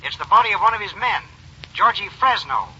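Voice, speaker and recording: An actor playing a brisk colleague reporting through a telephone filter in a 1940s radio crime drama. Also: male voice, sits high, expressive, bright, forceful tone, husky, full character voice. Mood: urgent report. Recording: tinny telephone filter, dull vintage radio.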